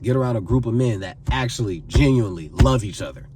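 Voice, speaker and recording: A man's voice: mid-range voice, expressive, quick pace, distant mic, background noise. A man in his twenties giving earnest advice to camera, pleading and repeating phrases for emphasis. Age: 20s. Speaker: vlogger giving life advice.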